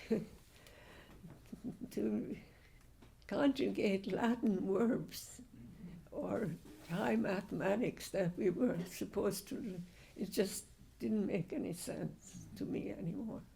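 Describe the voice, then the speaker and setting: Gravelly-voiced elderly woman. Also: mid-range voice, dark tone. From Hungary. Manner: slow, halting, with frequent restarts. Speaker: Holocaust survivor speaker. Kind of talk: answering audience questions.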